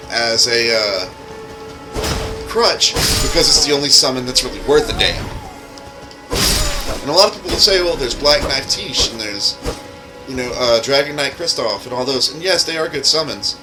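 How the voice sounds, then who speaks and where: A man's voice: medium pitch, lively intonation, normal speed, long pauses, rough recording. A man giving casual, rambling, explanatory gameplay commentary with some offhand swearing, as a gamer showing off his own mod. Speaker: game streamer and modder. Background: music.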